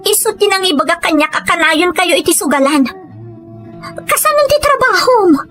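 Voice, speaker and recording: A woman acts out a hard-working wife in an Ilocano audio drama. Her voice is notably high. She questions and pleads with reproach and dismay over soft music. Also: lively intonation, moderate pace, heavily acted. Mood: reproachful. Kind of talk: Ilocano comedy drama acting.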